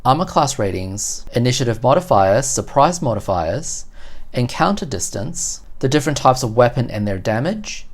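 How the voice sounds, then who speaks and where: Unboxing channel host for tabletop game collectibles; methodical explainer, medium pitch. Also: very animated, normal speed.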